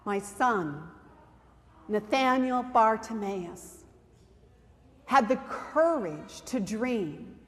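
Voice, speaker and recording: A woman playing a devoted, proud mother in a dramatic monologue, telling her story emphatically with conversational asides. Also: fairly high pitch. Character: devoted, proud mother of a blind beggar son. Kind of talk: dramatic monologue reading at a church service. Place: church, during a service. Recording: reverberant church hall.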